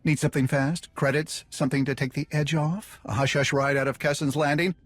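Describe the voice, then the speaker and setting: A man's voice: a little high, fairly even intonation, fairly quick, fairly bright tone, dry recording, faint music behind. An actor playing a shifty, ingratiating underworld fixer in a video game, talking like a salesman desperate to please. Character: shifty, eager-to-please male underworld fixer and low politician.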